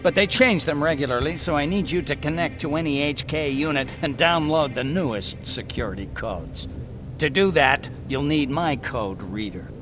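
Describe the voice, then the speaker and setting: Actor as an excitable brainy male scientist, higher-pitched. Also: normal speed, music in the background, lightly acted. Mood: dry, instructive. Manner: talkative, explanatory, excitable. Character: eccentric brainy male scientist and hacker, eager and blunt. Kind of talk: video game cutscene acting.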